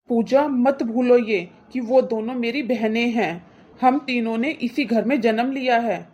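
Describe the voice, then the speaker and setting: A woman's voice: a little high, darkish tone, husky. A woman voicing an indignant, protective brother in a cartoon moral story, scolding his wife with insistent, reproachful questions.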